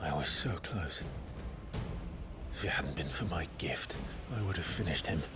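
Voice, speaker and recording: An actor playing a gruff, vengeful and anguished male warrior with a gravelly voice in a video game cutscene. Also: extremely low pitch, a little slow, over music. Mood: grim, weary.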